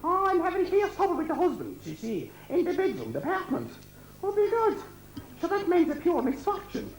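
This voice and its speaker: A man's voice: very high-pitched, huge pitch swings, a little fast, full character voice. A man playing a gossipy, scolding Irish countrywoman in an amateur comic pantomime, rambling and full of exclamations. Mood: confiding, gossipy. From Ireland. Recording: echoey amateur stage recording.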